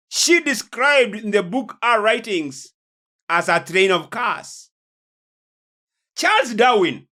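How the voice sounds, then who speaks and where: A preacher giving a sermon-like religious lecture in a deliberate, emphatic way, repeating phrases. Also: mid-range voice, a bit bright.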